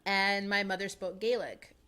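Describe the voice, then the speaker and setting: A woman in her thirties with an American accent, hosting a casual live stream from a small home studio, chatty and animated, laughing often and thinking aloud. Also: mid-range voice, moderate pace. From United States. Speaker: live-stream host reading chat. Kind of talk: casual chat. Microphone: boom-arm studio microphone close to the mouth.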